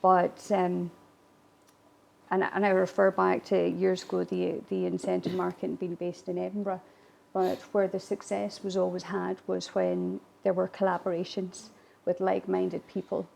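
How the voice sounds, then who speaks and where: A woman whose first language is Irish Gaelic, answering interview questions in a reflective way with hesitant pauses mid-phrase. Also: fairly low pitch, monotone, gravelly, darkish tone, slight background noise. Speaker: whisky distillery visitor attraction manager. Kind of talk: interview, recounting a business launch.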